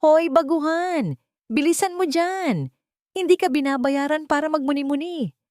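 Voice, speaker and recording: A woman's voice: high-pitched, unhurried, darkish tone, dry recording, clean studio sound, pitched above the performer's own voice. A woman with a Filipino accent voicing a fat, bossy, scornful male construction foreman in a story, curt and commanding. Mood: barking, impatient. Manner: curt, barking orders. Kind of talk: storybook character voice.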